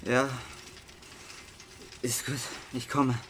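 A man's voice: medium pitch, little pitch movement, extremely slow, background noise. An actor with a Swiss German accent playing a drunk, remorseful young man in a radio drama. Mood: tipsy, complaining. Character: drunk, remorseful young man confiding in his best friend.

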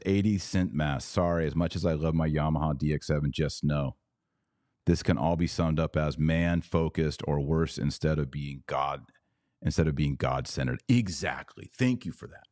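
A man's voice: a bass voice, a little mellow, no echo, clean studio sound. A man hosting a podcast. He reads out viewer comments, riffs on them with sarcasm, and turns preachy when he makes his point. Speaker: podcast host.

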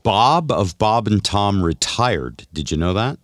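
A man's voice: fairly low pitch, animated, unhurried, no room sound, clean studio sound. An older man hosting a radio show, chatting and telling stories casually in a conversational, wry way. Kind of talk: casual radio banter and storytelling.

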